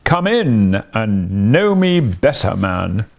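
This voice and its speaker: A man's voice: fairly low pitch, big pitch swings, takes it slowly, vocal fry, a little mellow, heavily acted. A man voicing a jolly, hearty giant Christmas spirit from a storybook, cheery and commanding. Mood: hearty welcome. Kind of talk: storybook character reading.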